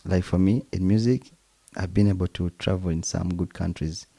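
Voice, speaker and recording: Deep husky Ugandan man. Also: fairly even intonation, normal speed, mellow, dry recording. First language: Luganda. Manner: chuckling, preacherly asides, demonstrates instruments. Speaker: percussionist guest. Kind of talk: relaxed interview conversation.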